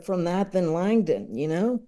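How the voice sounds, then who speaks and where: Man, high-pitched, relaxed dark timbre, slow pace, halting, casual interview guest. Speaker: interview guest, comedy expert. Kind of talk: casual conversational film discussion.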